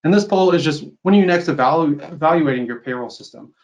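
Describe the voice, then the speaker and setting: A man's voice: fairly high pitch, animated, quick pace, dull audio. A man gives an informal webinar product demo over a video call, explaining things conversationally and often checking in with a tag question. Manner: explanatory, conversational, tags statements with "right?". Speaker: software company sales executive presenting a webinar.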